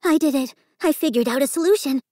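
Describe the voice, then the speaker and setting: A woman's voice: high voice, expressive, moderate pace, a little mellow, no echo, clean studio sound, voice pushed up. A woman voicing a shy, anxious schoolgirl thinking nervously to herself in a storybook reading. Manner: nervous inner thoughts.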